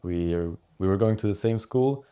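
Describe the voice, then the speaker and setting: A man's voice: lowish voice, normal speed, dark tone, smooth. A young man from Austria chatting casually as a podcast guest. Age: teens to young adult. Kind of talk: casual interview chat.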